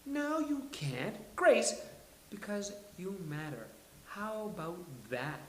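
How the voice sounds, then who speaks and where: A man's voice: high voice, big pitch swings, slow, fairly bright tone, pitched above the performer's own voice, full character voice. Man doing a flamboyant, sassy drama queen, roomy sound. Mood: indignant. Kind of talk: sitcom script table read. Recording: roomy live table read.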